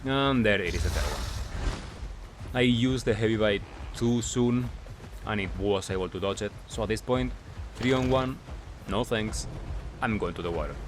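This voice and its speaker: A man giving casual gameplay commentary as a recap, talking in short clipped phrases with pauses. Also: on the low side, noisy background. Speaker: gaming video creator.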